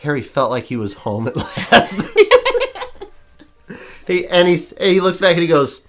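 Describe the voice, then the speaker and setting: A man's voice: a little high, lively intonation, measured pace. A man co-hosting a podcast in casual chat, joking and rambling with lots of fillers, a bit stuffed up from allergies. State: congested from allergies. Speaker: podcast co-host.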